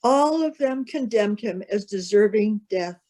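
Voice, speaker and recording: A woman narrating a solemn Bible reading as a scripted piece, with measured, careful phrasing. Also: medium pitch, expressive, mellow.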